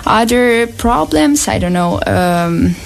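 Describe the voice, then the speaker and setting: Italian woman, halting English. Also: mid-range voice, animated, gravelly, a little hiss or hum. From Italy. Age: young adult. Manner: halting English with many fillers. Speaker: exchange student.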